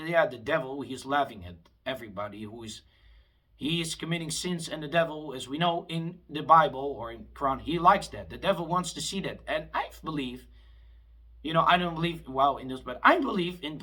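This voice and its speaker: A man's voice: a little high, pushed and bright, slightly rough. Guy in his late 20s, rambling and heated, swoops up and down a lot. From Afghanistan. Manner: heated, rambling, with frequent restarts. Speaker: vlogger. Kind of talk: impassioned rambling monologue.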